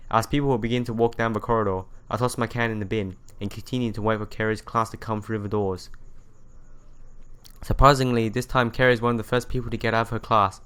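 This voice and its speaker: A man's voice: lowish voice, level tone, fast, fairly bright tone, clean tone. A man reading visual novel narration aloud as a let's player, telling it expressively and sometimes stumbling and rereading phrases. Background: music.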